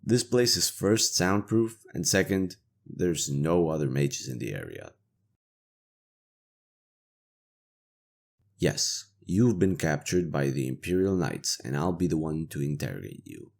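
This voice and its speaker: Man acting a very deep, gravelly, weary interrogator knight. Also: very animated, normal speed, darkish tone, exaggerated voice. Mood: cool, controlled. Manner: sardonic, world-weary, profane, conversational. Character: weary, cynical but conscientious male imperial knight interrogator. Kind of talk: roleplay audio drama monologue.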